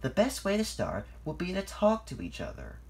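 A sweet and helpful female diesel engine from a storybook, put on by a narrator. She speaks in a raised pitch, forthright and ready to take charge. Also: wildly swooping pitch, normal speed, vocal fry, darkish tone. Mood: sweet, willing.

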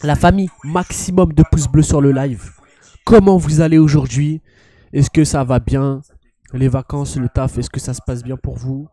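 A young man with a head cold, streaming a game and joking loudly in slangy banter. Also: medium pitch, steady tone, moderate pace. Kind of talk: casual gaming stream chat.

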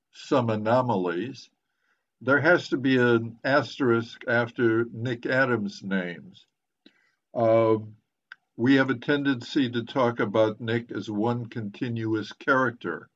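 An older man speaking in a measured, deliberate, professorial way as he moderates an online book discussion. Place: indoors, on a video call. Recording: muffled, low-fidelity video call.

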